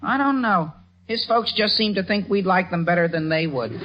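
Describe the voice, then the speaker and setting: A man's voice: sits high, normal speed, very noisy, lightly acted. A man playing an eager, pleading teenage son with a youthful, cracking voice in a 1940s radio comedy. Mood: protesting, earnest. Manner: earnest, wheedling, indignant when doubted. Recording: dull old radio broadcast recording.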